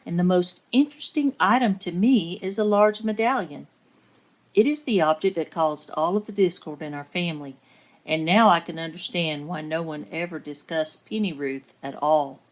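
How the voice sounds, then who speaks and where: An actress playing an earnest woman narrating her own letter, measured and sincere, in a scripted drama. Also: lowish voice, lightly acted.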